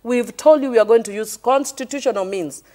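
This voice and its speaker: A woman's voice: on the high side, a little fast, dark tone. Impassioned Kenyan woman debating. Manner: impassioned, insistent, repeats her point. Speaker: member of parliament, panel guest. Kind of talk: heated panel debate.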